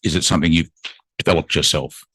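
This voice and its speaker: A man in a conference audience asking a speaker technical questions, halting and restarting as he goes. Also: extremely low pitch, fairly even intonation, a bit bright, husky, dry recording. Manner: halting, restarts with filler words. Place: conference room.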